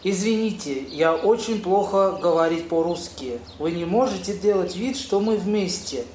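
Guy acting a flustered polite young man, high voice, broken grammar, echoey with faint birds. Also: lively intonation, a little mellow. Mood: flustered, pleading. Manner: halting, ungrammatical foreigner's speech. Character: courteous young Italian man, flustered, speaking broken Russian. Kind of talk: amateur audio play acting. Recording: roomy echo, noisy birdsong ambience.

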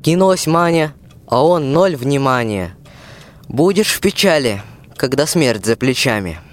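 A man's voice: mid-range voice, lively intonation, measured pace, lightly acted. A man playing a folksy storyteller, narrating a radio fairy tale in short rhymes. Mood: ominous.

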